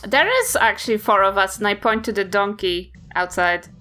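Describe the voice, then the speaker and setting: A woman's voice: mid-range voice, fairly even intonation, moderate pace, pushed and bright. A woman with a New Zealand accent chatting casually in character as a tabletop game player. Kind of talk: casual in-character improv chat.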